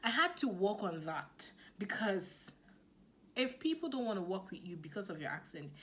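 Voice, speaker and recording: A woman's voice: mid-range voice, moderate pace, a little hiss or hum. Woman, Nigerian accent, business coach, motivational, emphatic, repetitive. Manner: animated and emphatic, repeating key phrases. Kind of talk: motivational talk to camera.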